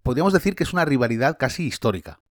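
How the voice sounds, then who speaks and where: A man hosting a podcast conversation, relaxed and chatty, often adding tag questions. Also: mid-range voice, racing, dry recording, clean studio sound. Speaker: podcast host and tour guide. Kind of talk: casual conversation.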